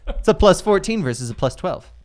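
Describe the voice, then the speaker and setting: A tabletop gamer, a man with a somewhat high voice that swings widely in pitch. He banters casually with the group and sounds jokey and excitable. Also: a little fast. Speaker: player in a tabletop game.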